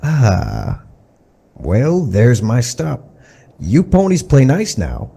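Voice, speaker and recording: Man acting gruff pony boss, mellow dark tone, lively pitch, brusque, contemptuous, audio drama. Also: drawn-out words, full character voice. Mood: dismissive. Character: gruff, callous male pony carriage driver, bullying boss.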